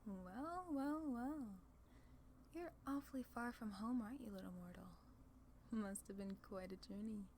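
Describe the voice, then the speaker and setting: A woman's voice: a little high, measured pace, a little mellow, a little hiss or hum. A woman playing a haughty, sly female demon lord in an ASMR roleplay, condescending and playfully theatrical. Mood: sly curiosity.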